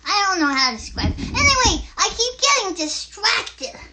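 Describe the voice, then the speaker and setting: A woman voicing an excitable, easily distracted little girl puppet who hosts a children's show, chattering haltingly and interrupting herself. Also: very high-pitched, background noise. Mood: chatty, explaining. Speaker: puppet host.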